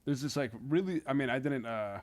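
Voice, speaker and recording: A man chatting casually as a comedian guest on a podcast, talking in a halting, tangential way with frequent self-corrections. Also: medium pitch, expressive.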